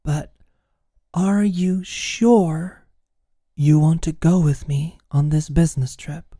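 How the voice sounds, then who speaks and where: An actor playing a teasing, caring British office manager in an intimate ASMR roleplay, speaking softly and breathily with a dry manner. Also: mid-range voice, fairly even intonation, slow, dry recording. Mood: earnest, hesitant. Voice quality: soft, breathy, often near-whispered. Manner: dry, gently teasing, solicitous.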